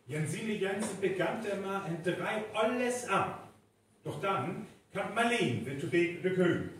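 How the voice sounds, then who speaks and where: In a very echoey live hall, a bit off mic, a man plays the narrator of a village mystery radio play. His voice is notably high and animated, with a North Frisian accent. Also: a little slow, far from the mic, noisy background. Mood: narrating. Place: live stage performance venue.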